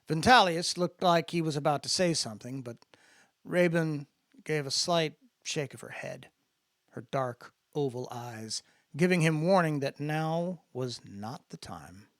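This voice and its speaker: A man's voice: on the high side, expressive, a little slow, a bit bright, dry recording, studio quality. A man talking and reading fiction aloud in a dramatic storytelling style. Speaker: narrator reading own book aloud. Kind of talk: casual introduction, then dramatic audiobook-style reading.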